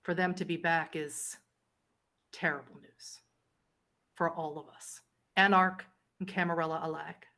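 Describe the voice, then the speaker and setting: A woman playing a haughty, powerful vampire ruler in a tabletop roleplay, measured and imperious, with deliberate pauses. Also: low-pitched, steady tone, sharp tone, heavily acted. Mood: grave. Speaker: game master.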